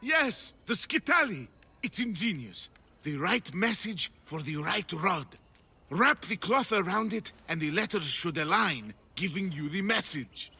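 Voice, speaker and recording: An anxious, scholarly sculptor for a video game. He is effusive and fussy, with a bright, high voice that swings widely in pitch. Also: big pitch swings, unhurried, creaky, breathy edge, soft background music, heavily acted. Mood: eager, explanatory. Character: anxious, excitable hunted male Greek sculptor, theatrical and scholarly. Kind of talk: dramatic video game acting.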